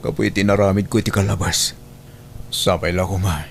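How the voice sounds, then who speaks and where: A lowish-voiced husband , acted by a man, full of regret and pleading hesitantly. The Ilocano-accented audio drama recording carries faint noise. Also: fairly even intonation, fairly quick, gravelly. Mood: remorseful. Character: remorseful husband pleading for forgiveness.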